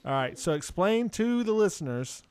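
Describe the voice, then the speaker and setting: A man's voice: high-pitched, sing-song, normal speed, darkish tone, clean tone, dry recording. Teasing male podcast host with lightish voice. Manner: teasing, interrogating. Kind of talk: casual comedic banter.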